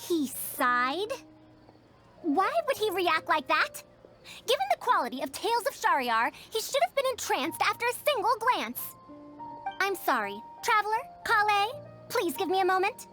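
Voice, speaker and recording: A woman's voice: very high voice, very animated, moderate pace, pushed and bright, faint music behind, heavily acted. A woman voicing a bookish, fussy scholar in a video game, speaking formally with emphatic, old-fashioned phrasing. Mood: indignant, dismayed. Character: bookish, fussy young-sounding woman scholar, indignant about her beloved books.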